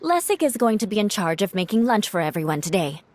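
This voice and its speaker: A woman's voice: medium pitch, big pitch swings, quick pace, no room sound. Proud young woman guard: stern, clipped, mid-range voice for a game cutscene. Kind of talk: video game cutscene voice acting.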